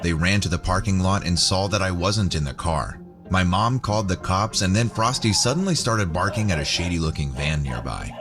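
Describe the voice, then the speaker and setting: Very deep male narrator over music. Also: normal speed. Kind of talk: scripted first-person story narration. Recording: music bed under the voice. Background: background music.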